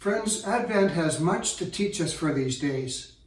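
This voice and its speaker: An older male pastor speaks in a somewhat high-pitched voice. He delivers his prayer and sermon deliberately, in short, measured clauses. The room adds a clear echo around his words. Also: expressive. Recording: roomy, echoing indoor sound.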